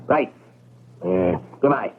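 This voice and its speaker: An actor playing a genial, shrewd gentleman coin collector in an old-time radio drama, proper and given to hemming. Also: mid-range voice, lively intonation, slow, breathy edge, heavily acted. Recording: muffled vintage radio broadcast recording.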